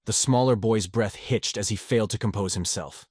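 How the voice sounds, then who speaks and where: A man narrating a fanfiction audiobook with a steady, measured reading. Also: lowish voice, noisy background.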